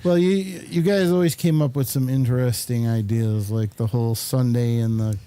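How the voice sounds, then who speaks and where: A man with a soft-edged, dark-toned voice of average pitch hosts a casual talk show. He feels his way through questions and remarks with a hesitant, wandering delivery. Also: steady tone, normal speed, clean tone. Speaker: talk show host and interviewer. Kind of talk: casual interview chat.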